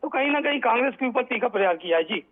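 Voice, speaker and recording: Over a phone line, a man gives a halting, repetitive live news report as a correspondent. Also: very high voice, expressive. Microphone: phone call. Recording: tinny, narrow phone line.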